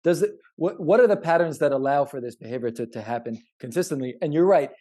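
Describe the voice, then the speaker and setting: A podcast co-host with a soft, mellow, mid-range voice chats informally. The delivery is halting and circling, with words repeated as each thought gets worked out. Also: medium pitch. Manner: halting, hedging, repeats words while reasoning aloud. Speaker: podcast co-host discussing own research. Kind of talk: casual podcast conversation.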